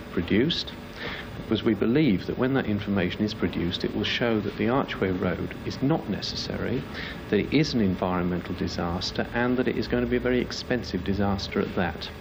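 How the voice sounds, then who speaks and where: A man delivers a campaign speech in a fairly low voice. Clear urban noise, with traffic, runs behind his words. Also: a little fast. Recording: clearly noisy, urban background sound.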